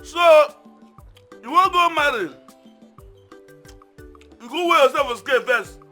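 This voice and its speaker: A man's voice: very high-pitched, unhurried, sharp tone, soft background music, heavily acted. An actor with a Nigerian Pidgin accent playing a man who presses about a debt and rambles advice. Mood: lecturing. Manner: rambling, lecturing. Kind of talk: film acting.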